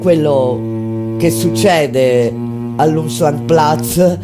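A woman acting a grave resistance fighter in a theatrical monologue, with solemn, deliberate phrasing and weighty pauses. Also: sits low. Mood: somber, restrained. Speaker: stage actress. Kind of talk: dramatic theatrical monologue over music. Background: live music with guitar.

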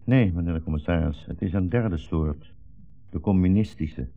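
Deep old man, blind rabbi, measured, dignified, quietly ironic, gently defiant, tinny 1960s radio play recording. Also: creaky. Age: older. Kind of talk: 1960s radio drama acting. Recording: tinny, band-limited old radio recording, faint music.

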